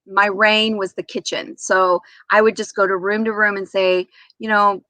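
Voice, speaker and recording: Bright-voiced woman telling personal stories. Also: mid-range voice, moderate pace, no echo. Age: 40s. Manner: animated, acts out remembered dialogue. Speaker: interview guest, nurse. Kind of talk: casual anecdotal storytelling.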